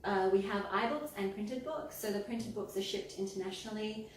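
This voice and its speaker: A woman's voice: mid-range voice, brisk. Woman, Persian Australian accent, earnest explainer, many ums, very echoey room, distant mic. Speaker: guest, children's book author and pharmacist. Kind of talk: interview answers.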